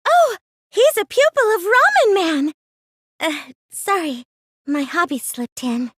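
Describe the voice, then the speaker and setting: A woman's voice: very high voice, lively intonation, takes it slowly, fairly bright tone, no echo, clean studio sound, exaggerated voice. A woman playing a timid, earnest, nerdy teenage girl in an English video game dub, hesitant and prone to stammering when flustered. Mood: excited, then sheepish.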